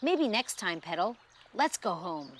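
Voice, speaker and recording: A woman voicing an excitable, trusting young boy in a storybook, exclaiming in a breathless, wide-eyed way. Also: lowish voice, a little slow, slight background noise. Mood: excited, hushed. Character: excitable, trusting young island boy who sees a princess.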